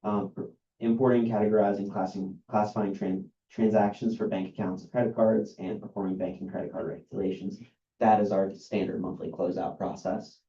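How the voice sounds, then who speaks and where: A man, an outside accountant, reading a list of services aloud at a meeting in a dull, monotone way, with many ums and uhs. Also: fairly low pitch, brisk, a little hiss or hum. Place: meeting room. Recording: roomy, dull lo-fi meeting recording.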